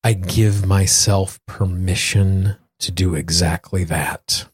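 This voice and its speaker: A man with a fairly low, slightly husky voice talks as a solo podcast host. He phrases things earnestly and deliberately, often repeating for emphasis. The sound is close and dry. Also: fairly even intonation, no room sound. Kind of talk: solo podcast talk with guided affirmations.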